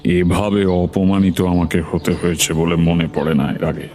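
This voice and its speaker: A man narrates a suspense tale as its first-person storyteller. His voice sits very low. Music plays behind the scripted, dramatized reading. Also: level tone, measured pace, darkish tone.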